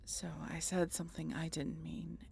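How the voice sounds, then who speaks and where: A woman playing a guarded, sardonic century-old vampire in an intimate audio roleplay, halting and casually profane as she talks to the listener. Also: low voice, completely flat delivery, creaky, darkish tone, a little hiss or hum. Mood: confessional, remorseful.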